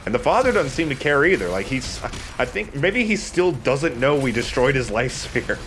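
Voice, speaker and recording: A man's voice: medium pitch, very animated, normal speed, a bit bright, a little hiss or hum. A man giving casual commentary over a video game, talking in a conversational let's play style. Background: video game sound.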